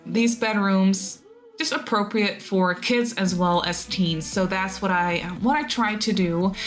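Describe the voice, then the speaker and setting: Woman, English not her first language, casual rambling voiceover, mid-pitched, faint music underneath. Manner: rambly, chatty, many fillers and restarts; laughs often at herself. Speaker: Sims builder and YouTuber. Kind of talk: casual voiceover of a speed build video.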